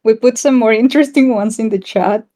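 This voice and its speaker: A woman's voice: fairly high pitch, fairly quick, warm tone. A woman from South America co-hosting a casual online community chat in a friendly, giggly, encouraging way. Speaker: town hall co-host.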